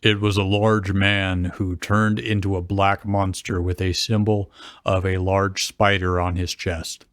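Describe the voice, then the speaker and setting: A man performs a giant guardian of ice in a comic story reading. The monster speaks slowly and solemnly, with formal loyalty and a deep, strained tone. The sound is close and dry. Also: little pitch movement, a bit bright, no echo. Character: huge slow booming male ice guardian monster, loyal servant.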